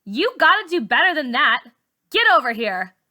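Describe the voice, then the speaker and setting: Woman acting a loud, bossy schoolgirl bully. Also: sits high, big pitch swings, moderate pace, voice pushed up, full character voice. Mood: commanding, taunting. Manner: forceful, taunting, threatening. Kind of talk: dramatic audiobook character voice.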